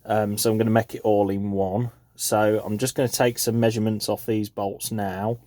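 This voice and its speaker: A man's voice: lowish voice, little pitch movement, moderate pace, a little mellow, dry recording. A man talking informally and matter-of-factly through a hands-on job, in a casual how-to vlog recorded on a handheld phone. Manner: informal, matter-of-fact explaining. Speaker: farm vlogger showing a DIY installation.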